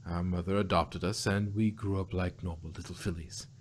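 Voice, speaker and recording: A man voicing a solemn, ancient female pony ruler who confides secrets in a measured way, in an amateur fan-fiction reading. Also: low-pitched, little pitch movement, slight background noise, natural voice. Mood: grave, secretive. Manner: measured, confessional storytelling.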